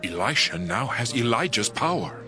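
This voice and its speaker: Bright, urgent biblical prophet. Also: male voice, mid-range voice, sing-song, normal speed, soft background music. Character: male member of a band of prophets. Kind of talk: dramatized Bible audio acting.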